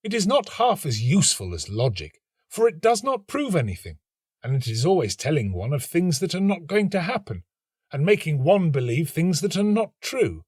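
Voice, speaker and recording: Man as excitable young student, raised pitch, animated. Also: moderate pace, slightly rough, dry recording, studio quality. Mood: scornful. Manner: exclamatory, earnest. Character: lovestruck, excitable young male student, easily soured.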